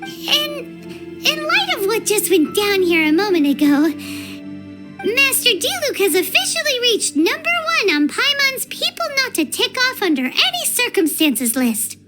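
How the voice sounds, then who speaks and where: Tiny excitable fairy sidekick, very high and squeaky, wildly swooping delivery, anime game. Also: female voice, a little slow, full character voice. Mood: theatrical awe. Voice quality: squeaky, childlike. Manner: exclamatory, talks of self in third person. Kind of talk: anime-style game voice acting. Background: loud background music.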